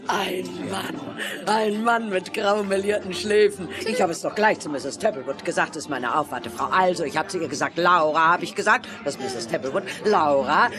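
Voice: mid-range voice, moderate pace, over music, lightly acted. An actor voicing a gushing, rambling older widowed grandmother in a German radio play. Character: gushing, chatty widowed grandmother seeking a husband.

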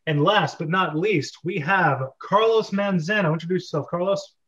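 A man's voice: high voice, moderate pace, a bit bright, muffled recording. A man hosting a script-reading club over a video call, talking casually.

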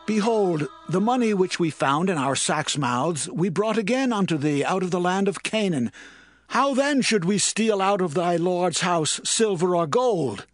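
Earnest Hebrew man, protesting, high voice, scripture drama. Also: animated, a little slow, dry recording, soft background music. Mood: indignant protest.

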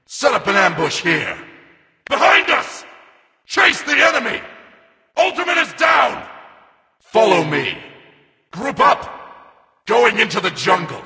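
Forceful, reverberant robot reaper warrior. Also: male voice, high-pitched, gravelly, exaggerated voice. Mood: grim, controlled. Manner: forceful, barked short commands. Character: commanding robotic reaper warrior, grim and battle-hungry. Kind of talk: video game character callouts.

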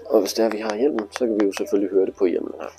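A man's voice: on the low side, flat delivery, mellow, faint music behind. A man giving a casual, hands-on tutorial, explaining things informally step by step. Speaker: instructor demonstrating motorcycle display.

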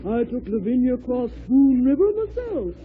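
A woman's voice: high voice, big pitch swings, normal speed. An actress playing a jaunty, folksy female ferryboat captain in an old radio children's drama, with a drawling, slangy manner. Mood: gossipy, ominous. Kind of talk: 1940s radio acting. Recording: muffled, band-limited old radio recording.

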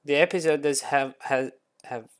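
A woman's voice: low voice, steady tone, moderate pace, clean tone. A woman hosting a casual online quiz game over voice chat, often stumbling and restarting her words. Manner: stammering restarts, chatty. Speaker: quiz host.

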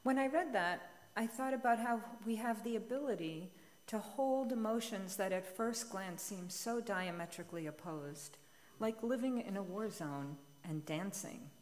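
A woman's voice: mid-range voice, a little slow. Middle-aged woman preaching in a reverberant church. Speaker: homilist at a church service. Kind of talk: homily read aloud as a letter. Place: large church sanctuary, at the pulpit. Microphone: gooseneck pulpit microphone. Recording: long hall reverb of church sanctuary.

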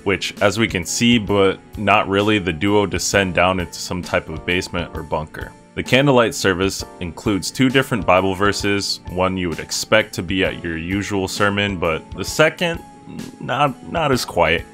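Low-voiced young man narrating over music. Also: animated, normal speed, few pauses, fairly bright tone. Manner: irreverent, joking, swears casually. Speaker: video essay narrator. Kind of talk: casual scripted video essay narration. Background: music with guitar under the voice.

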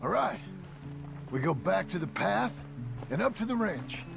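Gruff american ranch hand dad, creaky, dry, western game scene with music behind. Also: male voice, sits high, big pitch swings, unhurried, full character voice. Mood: encouraging. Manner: dry, plain-spoken. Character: gruff, protective cowboy father working as ranch hand. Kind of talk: dramatic video game cutscene acting.

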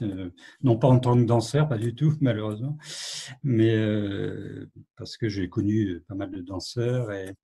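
Male novelist, mellow breathy voice, thoughtful and halting, over a video call. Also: fairly low pitch. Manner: reflective, hesitant, many fillers and restarts. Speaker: novelist, guest. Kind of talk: online literary conversation.

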